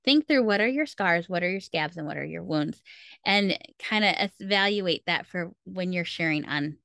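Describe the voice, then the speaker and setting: A woman's voice: fairly low pitch, lively intonation, moderate pace, gravelly, fairly bright tone, no echo, clean studio sound. A woman hosting a podcast, giving informal instructional talk and stressing key points by repeating them. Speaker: podcast host.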